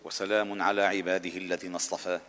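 A man leads the supplications of a sermon, his voice sitting low. He is measured at first and grows fervent as he pleads. A long reverb trails each phrase through the hall. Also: level tone, a bit bright, slight background noise. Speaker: preacher. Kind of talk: Friday sermon closing supplication.

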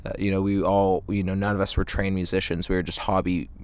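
A man, a band singer chatting casually in an interview with halting, hesitant phrasing. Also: low voice, little pitch movement, a little mellow, smooth. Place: inside a parked tour van.

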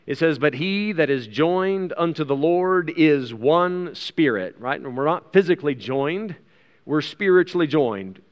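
A man's voice: on the high side, expressive, unhurried, dull audio. An American man preaching a sermon in a conversational way, with rhetorical questions and asides, reading from scripture. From United States. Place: large reverberant room, preaching to a congregation. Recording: long hall reverb.

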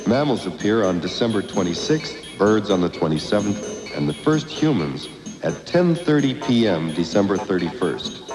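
A man reading scripted educational narration with music behind him. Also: on the low side. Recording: echoey long reverb, music behind.

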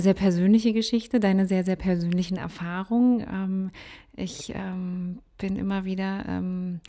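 A woman's voice: medium pitch, darkish tone. A woman hosting a podcast, asking questions and then closing the episode in a friendly tone with frequent ums. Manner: friendly, fills pauses with ums. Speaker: podcast host. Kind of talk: podcast interview and outro.

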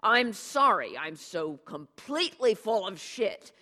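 A man's voice: sits high, sing-song, slow pace, pushed and bright, in a large hall, voice pushed up, full character voice. A reader voicing a proud, bitter father who launches into a cutting, sarcastic tirade, in an audiobook. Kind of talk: audiobook character dialogue.

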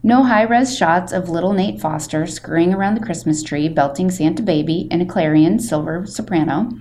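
Woman plays wisecracking eighth-grade boy storybook narrator: self-deprecating, theatrical, slightly low female register, faint noise behind. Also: moderate pace, a little mellow, natural voice. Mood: wry, theatrical. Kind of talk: expressive first-person audiobook-style reading.

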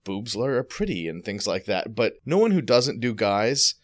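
Lowish voiced dude rambling on a podcast, blunt and crude, lots of restarts. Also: male voice, sing-song, normal speed. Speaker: podcast co-host. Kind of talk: casual chat.

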